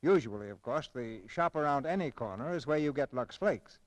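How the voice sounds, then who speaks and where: A man's voice: mid-range voice, expressive, a little fast, no room sound. A man hosting a radio theatre in scripted 1940s style, formal and theatrical in his delivery. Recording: old radio broadcast, music behind.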